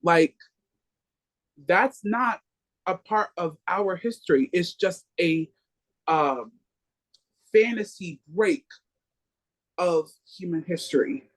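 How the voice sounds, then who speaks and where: An earnest book club member holding forth in political discussion, rallying the group. Mid-pitched and steady, preachy in tone. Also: slow, long pauses.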